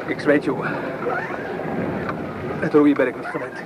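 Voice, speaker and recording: An actor playing a protective peasant soldier in a Dutch radio play, anxious and tender, pleading in short plain phrases. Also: male voice, medium pitch, flat delivery, unhurried, natural voice. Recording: very noisy old radio play recording.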